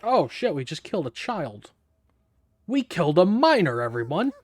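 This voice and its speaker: Higher-pitched young man, casual gaming commentary. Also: sing-song, moderate pace, no room sound. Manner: irreverent, offhand, slangy. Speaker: gaming streamer. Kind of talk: casual, sweary let's-play commentary.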